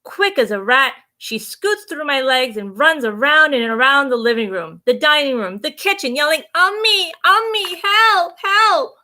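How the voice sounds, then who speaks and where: A woman's voice: high-pitched, lively intonation, moderate pace, hardly any pauses, pushed and bright. A woman hosting children's story time, reading a storybook expressively with simple asides and questions for young listeners.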